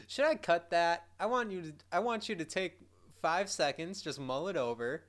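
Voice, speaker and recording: A man's voice: fairly high pitch, expressive, normal speed, a bit bright. A man, co-hosting a podcast in casual chat, dry and laughing often. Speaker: podcast co-host.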